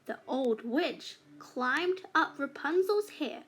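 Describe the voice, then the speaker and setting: A woman reading a children's fairytale aloud as a storybook narrator, with deliberate phrasing for young learners. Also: sits high, lively intonation, a bit bright.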